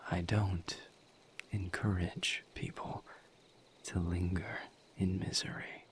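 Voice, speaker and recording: A man playing a calm, gentle, formal misery demon in an intimate roleplay audio, with a hushed, breathy voice and measured, deliberate phrasing. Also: low voice. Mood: earnest, soothing. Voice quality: hushed, breathy near-whisper. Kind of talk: soft-spoken roleplay audio, intimate monologue to listener.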